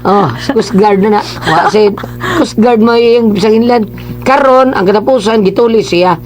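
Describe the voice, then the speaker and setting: A man's voice: high-pitched, moderate pace, a little hiss or hum. A man with a Cebuano accent co-hosting a radio show, casually retelling and bantering. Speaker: radio co-host.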